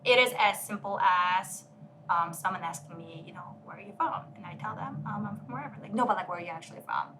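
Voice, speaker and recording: A woman talking through ideas in an earnest, explanatory way, peppering her speech with "like" and "right?". Her pitch sits in an ordinary middle range. Faint noise hangs behind the voice. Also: quick pace. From South Korea. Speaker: podcast guest. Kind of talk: casual conversation.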